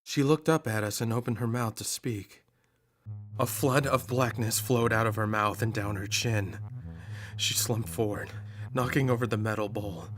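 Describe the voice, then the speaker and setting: A man narrating a scripted first-person horror story in a measured, dramatic manner. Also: fairly low pitch, steady tone, fairly bright tone. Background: prominent atmospheric music.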